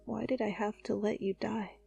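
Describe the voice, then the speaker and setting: A woman voicing a tender, grieving young character who rarely speaks, breathy and fragile, in an ASMR fan fiction. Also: medium pitch, flat delivery, moderate pace, warm tone, soft background music, heavily acted. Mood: grieving, hushed. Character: grieving, usually mute young listener, tender and wistful.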